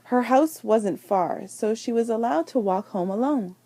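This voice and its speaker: Woman, lively swooping intonation, unhurried pace, children's read-aloud, dramatic emphasis. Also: fairly high pitch, no echo. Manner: deliberate, dramatic phrasing with emphasised words. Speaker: teacher reading a picture book aloud.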